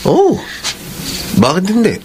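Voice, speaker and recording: A man's voice: sits high, wildly swooping pitch, slow, slight background noise, full character voice. An actor with a Filipino accent playing a lovesick, insistent young man pleading with his former lover in a radio drama. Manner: pleading, persistent questioning. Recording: radio drama over loud night insect ambience.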